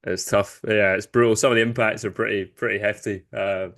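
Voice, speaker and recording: Low-voiced young man with light Glaswegian accent. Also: fairly even intonation, fairly quick, fairly bright tone. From Glasgow, Scotland. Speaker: podcast guest, business founder. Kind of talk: casual interview conversation.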